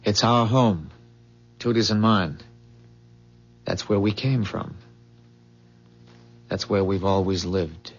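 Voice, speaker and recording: An actor playing an earnest, secretive inventor from a bleak future in a 1970s radio drama, explaining things with hesitant, measured pauses. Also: male voice, on the low side. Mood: grave, matter-of-fact. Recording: muffled 1970s radio broadcast.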